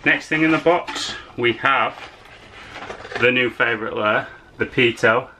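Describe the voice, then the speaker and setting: A man's voice: mid-range voice, measured pace, sharp tone, slight background noise, low-quality recording. A young man in his twenties chatting casually and enthusiastically while unboxing fishing lures at home, talking straight to a camera on his desk. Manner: easygoing, enthusiastic, chatty with filler words. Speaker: fishing vlogger. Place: small room indoors at home.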